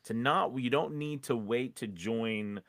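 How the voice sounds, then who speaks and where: A man's voice: medium pitch, measured pace, a bit bright, no room sound. A man co-hosting a casual livestream chat, speaking in an informal, enthusiastic way. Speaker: host.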